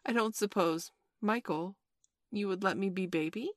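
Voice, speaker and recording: A woman's voice: medium pitch, moderate pace, dry recording, voice pushed up, close to an everyday voice. A humble little boy acted out by a woman reader, pleading in a hesitant, heavy-hearted way. The voice is lifted and plaintive, storybook style, with a very dry, close sound. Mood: timidly hopeful. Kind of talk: children's storybook reading.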